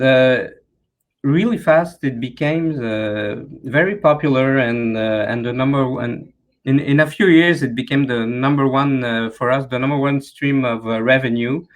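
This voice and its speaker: This man from Quebec grew up speaking French and carries a clear Quebec French accent into his English. His voice sits at an ordinary mid pitch. He speaks hesitantly, often pausing and filling gaps as he searches for words. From Quebec, Canada. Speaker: panel guest. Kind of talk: conversational interview answers.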